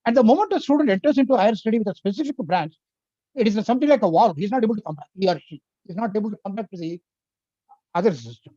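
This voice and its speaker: An older speaker with an Indian accent, whose first language is Tamil, lectures over a video call in an earnest, explanatory way. The voice is mellow and swings widely in pitch. The audio is dry and a little muffled. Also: expressive, rapid-fire, no echo. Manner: earnest, explanatory, lists points one by one. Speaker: invited speaker on education policy. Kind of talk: webinar lecture.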